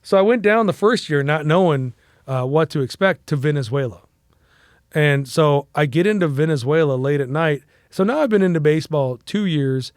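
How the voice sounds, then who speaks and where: A man's voice: on the high side, lively intonation, moderate pace, no echo. Rambling Mexican-American man, dry sound. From United States. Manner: rambling with fillers and restarts. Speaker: podcast guest. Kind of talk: casual storytelling interview.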